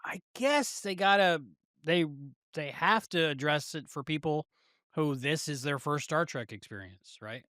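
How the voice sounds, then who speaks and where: Slightly higher-voiced man in casual podcast chat. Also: animated, a little slow, a bit bright, dry recording, clean studio sound. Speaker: podcast co-host.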